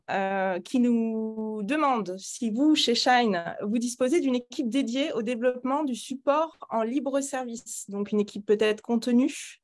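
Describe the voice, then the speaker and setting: A woman with a slightly high voice moderates a workshop. She speaks as a host running a question-and-answer session.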